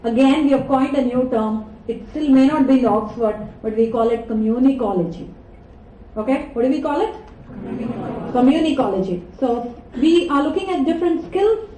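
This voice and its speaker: A woman with an Indian English accent teaches a class in an animated way and keeps asking the students questions. Her voice is high but mellow. She is heard from some distance in an echoey lecture hall, with a faint room hum behind her. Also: a little fast. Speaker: communication skills trainer.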